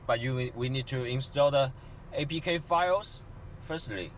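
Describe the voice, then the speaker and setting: Male product demonstrator, scripted narration, non-native phrasing, mid pitch, slight background noise. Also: a little slow.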